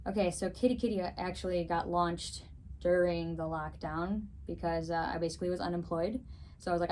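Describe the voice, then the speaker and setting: Young woman guest, Greek first language, upbeat and chatty, slightly low voice. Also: a little mellow, a little hiss or hum, low-quality recording. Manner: upbeat, chatty, frequent "so, yeah" wrap-ups. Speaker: guest, figure skater and musician. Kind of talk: casual interview answers.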